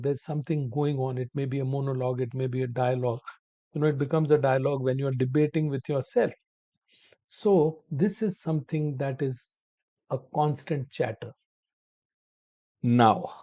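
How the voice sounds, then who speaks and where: Slow, mellow-voiced man teaching English. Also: medium pitch. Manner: slow, deliberate, addresses viewers as "my dear friends". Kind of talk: online spoken-English lesson. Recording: dull lo-fi audio.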